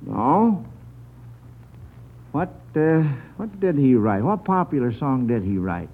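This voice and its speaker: Animated man hosting an old radio quiz. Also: medium pitch, slow pace, phone-quality sound. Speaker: quiz show host. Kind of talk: jovial old-time radio quizmaster, questioning children. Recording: band-limited old radio broadcast.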